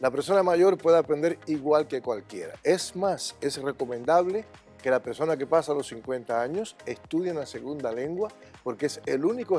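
A man's voice: fairly high pitch, a little mellow, breathy edge. An older man speaking as a language school director in a TV studio interview, with an emphatic, confident, instructive way of talking. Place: TV studio set.

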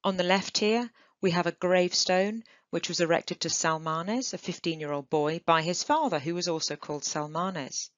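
A woman's voice: fairly low pitch, no echo. A woman giving an enthusiastic conference lecture on archaeology over slides. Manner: enthusiastic, measured, with occasional "um" and restarts. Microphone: computer mic on a video call. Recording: dull lo-fi online talk.

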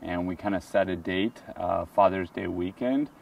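A relaxed man in his thirties speaks in a deep, low voice and rambles easily through his story. He sits outdoors, recorded from a distance by the camera, with steady street noise behind him. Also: moderate pace, creaky. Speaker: vlog co-host. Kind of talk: casual travel chat. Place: outdoors beside a travel trailer.

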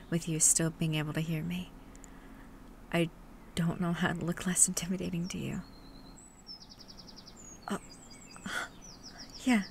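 Woman playing tender female monster hunter, ASMR roleplay. Lowish, soft, unhurried, coaxing, protective. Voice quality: soft, breathy whispering at times. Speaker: voice actor. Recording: steady ambient noise with birdsong.

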